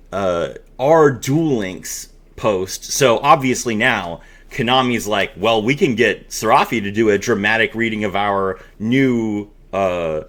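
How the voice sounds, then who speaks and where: A man's voice: medium pitch, fairly bright tone. A man hosting a card game video, mixing casual commentary with theatrical, emphatic reading aloud.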